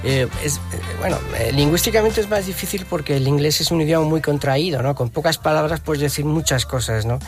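A man who sings, interviewed in Spanish in a casual radio talk, speaking haltingly with many fillers and repeated words. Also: on the high side, music in the background. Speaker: singer and interviewed musician.

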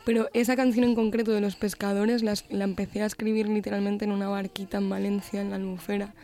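A young woman from Galicia with a light Galician accent, a musician guest talking in a relaxed, thoughtful way with many fillers in a radio studio. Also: medium pitch, fast talker. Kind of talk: radio interview.

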